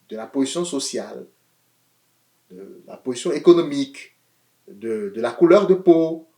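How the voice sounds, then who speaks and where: Animated French-speaking preacher man, echoey. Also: fairly high pitch. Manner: animated, swings between loud emphasis and quiet asides, repeats words. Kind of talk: sermon.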